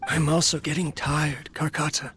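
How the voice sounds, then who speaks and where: An actor playing a lonely, weary male scholar-inventor in a video game, talking gently and wistfully as if to a companion. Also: a little high, level tone, moderate pace, a little hiss or hum, natural voice. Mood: weary, grave. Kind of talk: video game character monologue.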